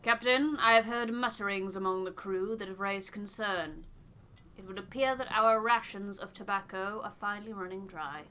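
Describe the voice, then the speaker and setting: Woman voicing a stiff, bright-voiced aloof male scientist. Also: mid-range voice, normal speed, lightly acted. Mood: grave, formal. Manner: formal, clipped, precise.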